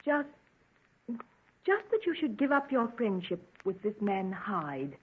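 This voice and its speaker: An actress playing an anxious, devoted young fiancée in an earnest, pleading golden age radio drama style. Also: female voice, medium pitch, very animated, takes it slowly, breathy edge, heavily acted. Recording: tinny band-limited vintage radio recording.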